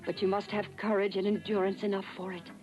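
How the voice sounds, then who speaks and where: Casting: a woman as a grave, measured fairy for an animated fairy tale, mid-pitched, music behind. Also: highs cut off, close to an everyday voice. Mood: solemn warning. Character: solemn female fairy giving grave instructions. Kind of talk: dubbed cartoon fairy tale acting.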